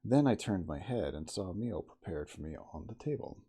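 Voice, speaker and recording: A man reading a classic novel aloud as ASMR, in a soft, intimate, slow dramatic storytelling manner. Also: deep voice, expressive, breathy edge. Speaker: audiobook reader in character as the narrator.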